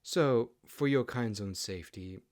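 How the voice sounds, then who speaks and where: A man's voice: mid-range voice, unhurried, a little mellow, close to an everyday voice. A man voicing a proud, huffy fox queen in an audiobook, with a formal, haughty way of talking. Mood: stern, huffy.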